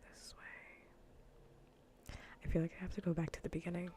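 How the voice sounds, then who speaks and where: A woman streamer chatting casually in a soft, breathy whisper and murmur during an ASMR gaming livestream. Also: lowish voice, flat delivery, normal speed.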